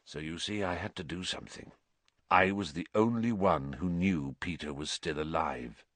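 A man voicing a gaunt, bitter fugitive with a croaky, hushed voice and a grave, intense manner in a story. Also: sits low, normal speed, dry recording, pitched below the performer's own voice. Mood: haunted, intense.

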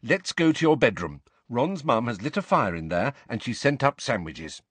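A man voicing a brisk, bossy, clever teenage schoolgirl in an audiobook, airy and impatient in manner. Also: mid-range voice, very animated, husky, no echo. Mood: brisk, bright.

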